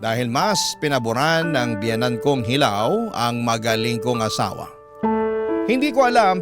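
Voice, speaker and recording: A man with a Filipino accent narrating a scripted, dramatic personal story as a letter read on a radio show. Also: medium pitch. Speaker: narrator reading a listener's letter. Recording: loud guitar music under voice.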